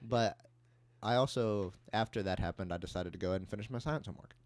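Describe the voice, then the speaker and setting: A man's voice: fairly low pitch, quick pace, no room sound. A young adult man co-hosting a podcast, telling anecdotes and bantering in a casual way. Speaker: podcast co-host.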